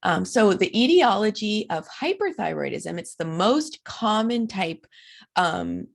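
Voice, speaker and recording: Raspy-voiced woman lecturing over slides. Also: medium pitch. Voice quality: raspy, dry throat. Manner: conversational, many fillers. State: recovering from illness. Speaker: instructor.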